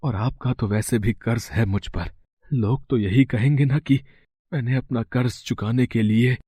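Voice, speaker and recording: A poor, middle-aged farmer father from a storybook drama, acted out by a man. His voice is husky and dry, mid-pitched. He speaks humbly and haltingly, weighed down with worry. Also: warm tone, no echo. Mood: worried. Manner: hesitant, deferential, anxious.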